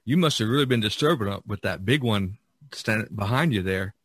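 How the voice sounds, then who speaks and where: A middle-aged or older man, a painter and podcast host, talking casually about art in a halting, searching way. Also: on the low side, animated, fairly bright tone, slightly rough, no room sound.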